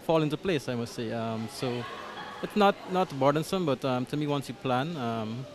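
A man's voice: medium pitch, expressive, normal speed, background noise. A Guyanese man in his thirties answering interview questions in a small church hall, hesitant with many ums and restarts, speaking into a handheld mic. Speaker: parish coordinator. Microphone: handheld mic held by interviewer.

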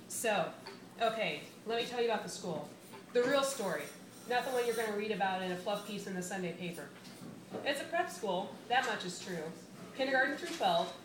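A woman's voice: fairly high pitch, natural voice. A woman reading a short story live as a blunt, defensive female teacher giving a police statement, conversational, wry and impatient. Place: small bar reading room, indoors. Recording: roomy, noisy bar on event microphone.

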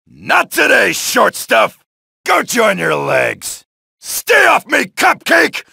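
A loud, gravelly male soldier from a zombie shooter game hurls one-liners at the undead. The tone is bright and raspy with big leaps in pitch. The voice lines sound dry and close to the mic. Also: wildly swooping pitch, takes it slowly, no room sound, heavily acted. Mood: taunting. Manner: shouted taunts and one-liners. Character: brash, wisecracking male soldier blasting zombies. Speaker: video game soldier character.

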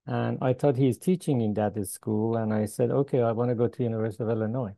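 An older Iranian-accented man speaks in a very dark, mellow voice, with dry, close sound. He pauses often with "uh" and chuckles between points, talking over a video call from a small room at home. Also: medium pitch, fairly even intonation, creaky, dry recording. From Iran. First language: Farsi. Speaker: guest professor. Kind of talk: interview answers. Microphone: video call with earbuds.

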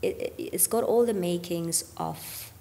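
A woman's voice: fairly low pitch, unhurried, gravelly, mellow, in a large hall. A woman in her thirties, a gospel singer, talking earnestly about faith on a podcast and often dropping to a near-whisper. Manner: earnest, drops to near-whisper on key points. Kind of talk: reflective faith conversation. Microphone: studio mic on boom arm.

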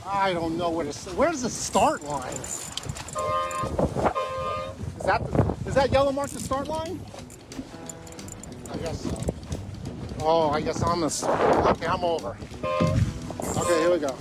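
A man giving a running, excited commentary on a sailboat race while sailing his own small boat and filming on a phone. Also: sits high, a little slow, pauses often, over loud music. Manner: excited, breathless running commentary, talking to himself. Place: small sailboat out on open water, windy. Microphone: phone camera held on the boat.

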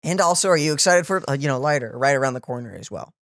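A man's voice: mid-range voice, animated, quick pace, gravelly, a bit bright, dry recording. A man in his twenties co-hosting a reaction video, chatting casually and sometimes searching for his words, close to the microphone. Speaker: reaction channel co-host. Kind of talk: casual chat. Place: small home room, indoors. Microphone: boom-arm studio microphone, close.

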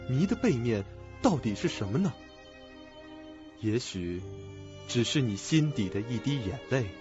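A man's voice: mid-range voice, very animated, measured pace. A man narrating a suspense radio drama from a script. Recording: dull lo-fi recording. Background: music.

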